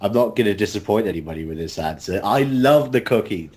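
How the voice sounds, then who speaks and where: A man's voice: fairly low pitch, expressive, a little fast, darkish tone. A man bantering casually in a group interview, playful, teasing and jokey. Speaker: audio drama cast member.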